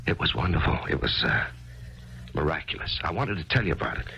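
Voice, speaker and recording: Grieving failed author, halting, deep, dull old radio sound, music behind. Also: male voice, very animated, gravelly, exaggerated voice. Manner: halting, hesitant speech with many pauses. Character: grieving failed male author, hesitant and searching. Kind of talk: 1970s radio drama acting with first-person narration. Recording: dull lo-fi 1970s radio recording with loud music.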